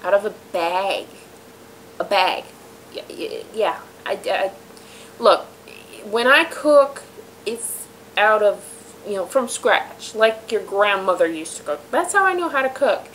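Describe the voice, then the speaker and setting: A woman hosting her home web show in a rambling, self-deprecating monologue, her voice raspy from being sick. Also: mid-range voice, very animated, slow, fairly bright tone, a little hiss or hum, poor audio. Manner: chatty, self-deprecating, many fillers and trailing asides. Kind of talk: rambling casual monologue to viewers. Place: room in a house.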